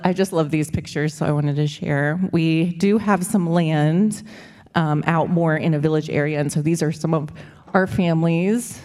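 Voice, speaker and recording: A young woman with a low voice talks casually to a church audience, wandering from point to point with plenty of fillers. Her words carry a long reverb from the hall she speaks in. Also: a little mellow. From United States. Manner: chatty, rambling, with frequent fillers and likes. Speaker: missionary speaker. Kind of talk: casual church presentation with slides.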